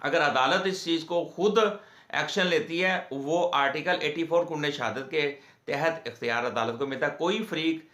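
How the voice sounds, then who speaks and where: A man with a Pakistani accent gives an informal legal explainer in a halting, repetitive, explanatory way, as the host of an advice channel. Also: a little high, a bit bright. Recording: echoey room.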